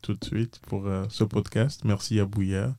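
A man's voice: on the low side, level tone, unhurried, mellow, no echo. A man chatting casually as a radio director and guest. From Togo. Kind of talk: casual chat.

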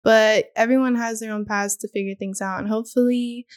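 A woman co-hosting a podcast, reflecting in a level, unhurried way about giving people the benefit of the doubt. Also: fairly high pitch, steady tone, dry recording, studio quality. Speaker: podcast co-host. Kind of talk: casual podcast chat.

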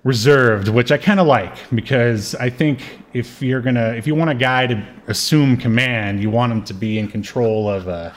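A man's voice: medium pitch, normal speed, few pauses, a little hiss or hum. A man co-hosting a podcast, chatting casually about a TV show and often searching for his words. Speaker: podcast co-host. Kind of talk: casual chat, reviewing a TV show.

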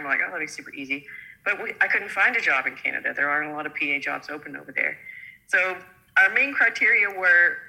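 A woman's voice: fairly low pitch, pushed and bright, low-quality recording. A middle-aged woman from the United States with an Oklahoma accent, a physician assistant chatting as a guest, laughing often. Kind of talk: casual interview talk.

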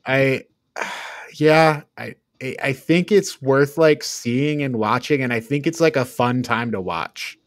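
A man's voice: mid-range voice, slow, no echo. A man with a mid-range voice hosts a casual film review chat. His manner is informal and playful. The sound is close and dry, with very little room in it. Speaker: podcast host.

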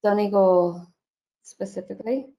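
A woman's voice: medium pitch, measured pace, mellow. A woman actress born in Ireland and raised in Switzerland and Germany, chatting reflectively as a guest in a remote interview. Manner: chatty, reflective, self-correcting. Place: home in Northern Ireland.

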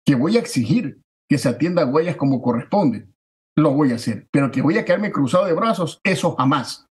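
A man, a political candidate giving forceful, declamatory campaign answers that stack repeated phrases for emphasis. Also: a little high, expressive, a little fast. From Ecuador.

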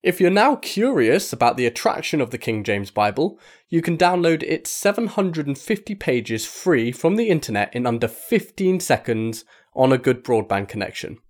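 Man narrating a magazine article, mid-pitched. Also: big pitch swings, normal speed. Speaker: article reader.

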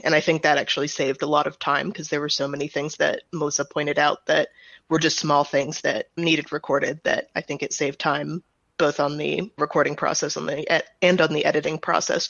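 A woman talks in a conversational interview style, laying out her explanations step by step. Her voice has a marked gravelly fry. The recording is dry and a little dull in the top end. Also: low voice, fairly bright tone, dry recording. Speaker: audio drama writer and director, guest. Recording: slightly dull, band-limited close recording.

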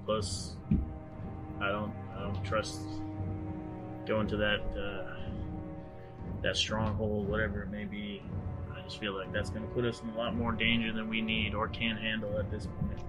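A man's voice: fairly low pitch, monotone, a little slow, long pauses, low-quality recording. A man talking casually as a player in a tabletop role-playing game. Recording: music under the voice.

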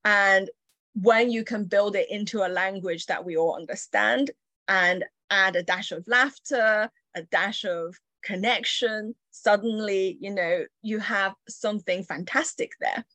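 A woman's voice: medium pitch, a little slow, sharp tone, no echo. A woman from China, an entrepreneur, telling her story in an interview with light laughter. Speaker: entrepreneur guest.

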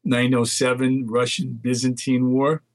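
A man's voice: medium pitch, level tone, measured pace, dry recording. Older Dutch-born man reading off dates. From Netherlands. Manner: reads lists of dates aloud, thinking aloud. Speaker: market research guest. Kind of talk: casual phone interview chat.

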